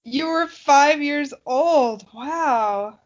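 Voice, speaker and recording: Young woman, comedy podcast co-host. Very high voice, unhurried, teasing and giggly, slightly lo-fi sound. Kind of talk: casual comedic banter.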